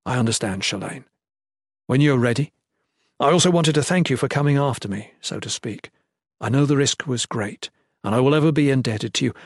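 A man's voice: medium pitch, brisk, breathy edge, dry recording, studio quality. A man voicing a loyal, noble young male guardian who speaks firmly and formally in a fantasy storybook. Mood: earnest, grateful. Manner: firm, flat, formal.

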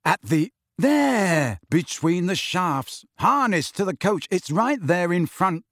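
A man acts out an earnest teenage boy in a storybook. He is impatient and puzzled, halting over his words, with a very lively pitch. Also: dry recording, studio quality, full character voice. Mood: urgent, disbelieving. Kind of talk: audiobook character acting.

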